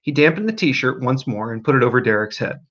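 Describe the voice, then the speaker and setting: Animated man reading a story aloud. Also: medium pitch, brisk, dull audio. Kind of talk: expressive audiobook narration.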